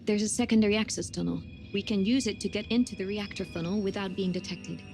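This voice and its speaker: A woman's voice: medium pitch, fairly even intonation, quick pace, creaky, darkish tone, close to an everyday voice. A woman playing a methodical, firm spaceship engineer in a sci-fi horror game, precise and matter-of-fact. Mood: methodical, focused. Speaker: voice actor in a video game. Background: music.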